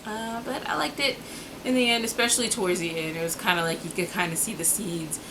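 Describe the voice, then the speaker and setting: A woman with a fairly low voice talks casually to camera as a book vlogger. Her phrasing is informal and slangy, with exasperated asides and stretched-out words for emphasis. Clear background noise sits behind her. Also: fairly quick.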